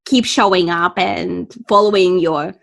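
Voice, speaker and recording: A woman's voice: on the low side, steady tone, a little slow, creaky, fairly bright tone. A woman interviewing a guest in casual chat, speaking English as her second language, encouraging and full of fillers and restarts. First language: not English.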